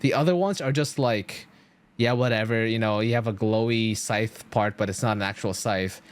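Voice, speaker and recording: A man's voice: fairly low pitch, normal speed, clean tone. A man streaming a game, chatting casually to viewers in an enthusiastic, slangy, rambling way.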